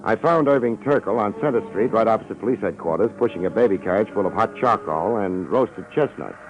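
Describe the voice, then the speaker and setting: Casting: man as hardboiled gumshoe, low voice, laconic and wry, old-time radio crime show with muffled sound, music underneath. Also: animated, brisk, lightly acted. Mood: wry storytelling. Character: cool, wisecracking hardboiled male private detective narrator. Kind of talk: 1950s radio detective drama, narration and dialogue.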